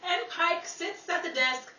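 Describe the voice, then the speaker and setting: A woman announcer delivers scripted old-time radio narration in a high, lively voice. She is heard from a distance in an echoey, noisy room. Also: measured pace. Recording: noisy, echoey live hall, far mic.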